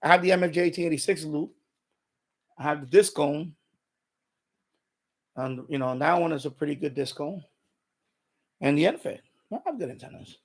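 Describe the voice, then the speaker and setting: A man with a fairly high voice and a noticeable creak chats off the cuff as a livestream host. He is opinionated and emphatic, often saying the same phrase over again to press his point. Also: expressive, moderate pace, long pauses. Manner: repeats himself for emphasis. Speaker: live stream host. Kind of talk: unscripted radio hobby livestream chat.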